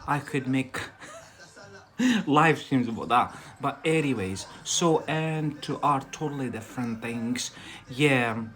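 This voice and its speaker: A man's voice: medium pitch, fairly bright tone. A young man from Algeria, whose first language is Algerian Arabic, hosts an English-learning live stream in a casual, rambling way with many fillers and frequent laughs.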